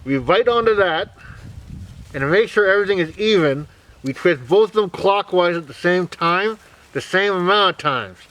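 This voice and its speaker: A man talking with cord held in his teeth, so his voice comes out nasal and muffled with a lisp, louder and higher than usual. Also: lively intonation, moderate pace.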